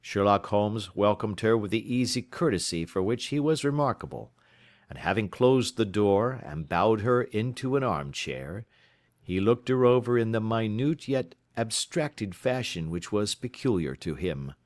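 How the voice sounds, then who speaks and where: A man reading an audiobook as a measured, storytelling narrator. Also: fairly low pitch, expressive, no echo, studio quality. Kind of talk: scripted narration.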